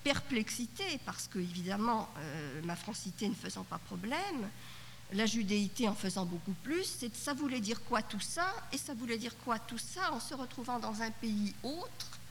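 A middle-aged woman whose first language is Yiddish, reflecting thoughtfully at a conference roundtable, halting often and restarting her sentences. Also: fairly high pitch, a bit bright. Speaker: panelist at a literature conference roundtable. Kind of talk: spoken reflection at a conference panel. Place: conference hall. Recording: 1990s cassette tape in a hall, faint hiss.

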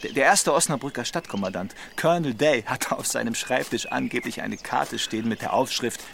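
An actor playing a sardonic, know-it-all detective inspector in a radio crime drama, teasing and lecturing. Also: male voice, mid-range voice, fairly quick, creaky, a bit bright, slight background noise. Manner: mocking, lecturing. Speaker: police detective.

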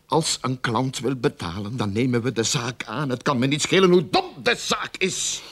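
An actor in a radio play playing a blustering, money-grubbing, lying detective agency boss with a sarcastic, exasperated manner. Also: male voice, mid-range voice, expressive, moderate pace, a bit bright, breathy edge. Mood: impatient, commanding. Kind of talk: radio drama acting.

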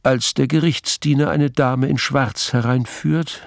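A man narrating a mystery radio play in a measured, composed, literary storytelling manner. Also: medium pitch, steady tone, gravelly, no echo, clean studio sound.

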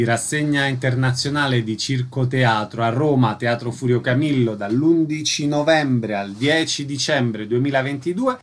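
A man hosting a radio arts programme, reading introductions and putting questions to guests. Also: mid-range voice. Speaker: radio host, interviewer. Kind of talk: radio interview, scripted introductions.

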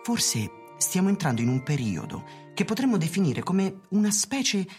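A friendly, courteous census taker in a radio drama. The voice is low with lively movement in it. Faint music sits behind the polite, earnest delivery. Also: female voice, normal speed. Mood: earnest, curious. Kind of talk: radio play acting.

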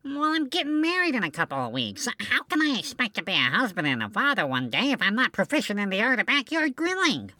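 A woman's voice: medium pitch, huge pitch swings, fast talker, few pauses, bright, forceful tone, dry recording. A woman doing scripted comic banter in a breezy, eager manner.

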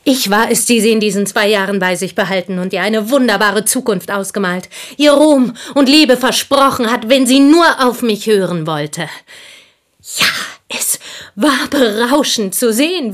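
An actress in a German radio play, playing an intense, embittered provincial Frenchwoman making a fervent, halting confession in a husky, breathy voice. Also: mid-range voice. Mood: proud, bitter. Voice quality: husky, breathy, dropping into whispers. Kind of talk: German radio play acting, dramatic monologue.

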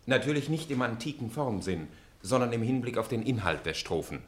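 An earnest middle-aged former pupil played by a man speaks in a radio drama with scholarly formality and deference. His mid-range voice moves up and down in lively fashion and rings with roomy echo. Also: mid-range voice, fairly quick, lightly acted. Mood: earnest, deferential. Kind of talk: German radio play acting.

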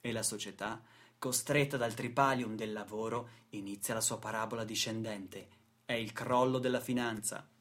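A man's voice: lowish voice, steady tone, normal speed, a bit bright. A man delivering a scripted radio theatre monologue in a solemn, rhetorical, declamatory manner. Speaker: stage actor and narrator. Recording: music playing behind the voice. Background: music.

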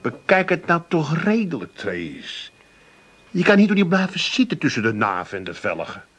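An actor playing a middle-aged, exasperated and pleading Amsterdam husband in a comic radio play, stammering and repeating himself. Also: male voice, on the high side, wildly swooping pitch, normal speed, fairly bright tone, soft background music, full character voice. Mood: awkward, placating. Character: exasperated, pleading husband and put-upon son.

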